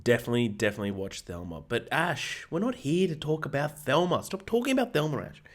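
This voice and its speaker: An Australian man co-hosting a film podcast, energetic and jokey, swearing freely, with a hoarse voice. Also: a little high, fast talker. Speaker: podcast co-host. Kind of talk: casual film podcast chat.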